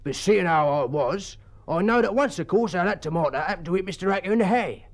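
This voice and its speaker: A creaky, stubborn old English porter. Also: male voice, high voice, lively intonation, brisk, voice pushed up. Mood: matter-of-fact. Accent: English, working-class dialect. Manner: rambling, ungrammatical, plain-spoken. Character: ancient, stubborn, deferential English school porter.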